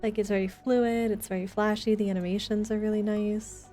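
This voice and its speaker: A woman with a soft, mellow voice talks casually on a game livestream. Her manner is playful and teasing, and music plays faintly behind her. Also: mid-range voice, level tone, fairly quick. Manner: playful teasing banter, gasps at discoveries. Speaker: game streamer. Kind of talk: casual livestream gaming chat.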